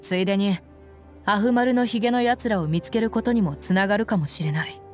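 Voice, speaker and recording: An actress playing a loyal, frank young mercenary bodyguard in a game, speaking in a firm, plain-spoken, tomboyish way. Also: female voice, mid-range voice, brisk, soft background music, lightly acted. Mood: resolute. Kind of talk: Japanese game voice acting.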